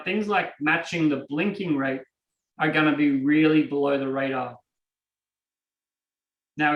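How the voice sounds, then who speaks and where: Australian male trainer, chatty, slightly high, echoey and off-mic. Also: recorded from a distance. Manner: lively, conversational, acts out examples. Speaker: NLP trainer. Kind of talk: teaching a live online class. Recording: roomy and from off the mic.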